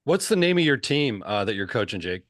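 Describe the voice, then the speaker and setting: An American man with a slightly low voice co-hosts a podcast. He talks casually and conversationally, putting questions and comments to a guest. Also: very animated, brisk, a bit bright. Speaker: podcast co-host. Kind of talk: casual chat.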